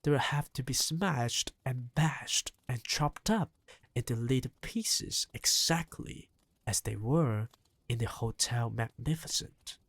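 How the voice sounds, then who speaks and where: A reader voicing a fierce, spirited old grandmother in an audiobook, talking briskly and impatiently. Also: mid-range voice, breathy edge, no echo, pitched below the performer's own voice. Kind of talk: audiobook character reading.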